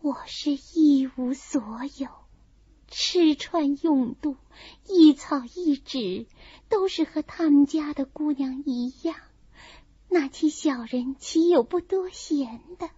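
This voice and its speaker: Woman voicing a high, plaintive, melancholy teenage girl. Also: slow pace, dark tone, dry recording, muffled recording. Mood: plaintive, aggrieved. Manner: plaintive, confiding. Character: frail, melancholy, sensitive teenage girl of a noble household. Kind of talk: dramatised audiobook reading of a classical novel.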